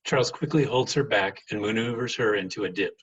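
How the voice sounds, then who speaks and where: A man with a husky voice reading stage directions as scripted narration for a play. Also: on the low side, a little fast, a bit bright, highs cut off.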